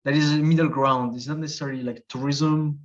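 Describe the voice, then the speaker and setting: A man's voice: a little high, steady tone, fast. Hesitant Swiss man, dull audio. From Switzerland. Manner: thoughtful, hesitant, many fillers and restarts. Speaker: guest, travel video creator. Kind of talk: casual interview conversation.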